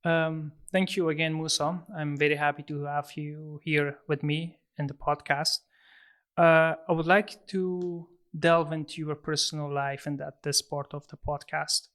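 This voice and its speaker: A man's voice: on the high side, steady tone, measured pace, a bit bright. A man hosting a podcast interview, politely asking questions and encouraging his guest. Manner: polite and encouraging, asks in long strings of questions. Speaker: podcast host.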